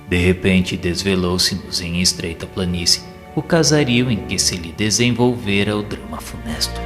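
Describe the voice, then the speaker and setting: A solemn spirit narrator, played by a man with a lowish voice and Brazilian accent. He tells his tale in measured, literary audio-drama style, compassionate and grave. His words ring in a long hall reverb over clear music. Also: fairly bright tone. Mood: grave, descriptive.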